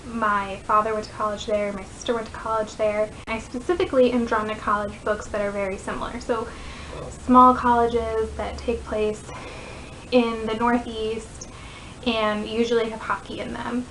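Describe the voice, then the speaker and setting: A woman's voice: fairly high pitch, flat delivery, moderate pace, a bit bright, a little hiss or hum, low-quality recording. A woman in her twenties with an American accent, chatting casually as she gives book recommendations in a vlog. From northeastern United States. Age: 20s. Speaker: book vlogger.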